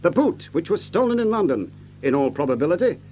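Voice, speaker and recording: An actor playing a shrewd, commanding gentleman detective in an audio drama, with an incisive, precise delivery. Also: on the high side, very animated, fairly quick, dull audio, soft background music. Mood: grave deduction.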